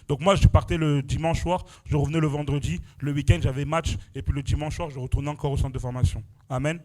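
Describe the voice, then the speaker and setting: A man's voice: a little high, darkish tone. A young man from France preaching a testimony at a church prayer vigil, exclaiming and punctuating his phrases with "amen" and "alléluia". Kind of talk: sermon testimony.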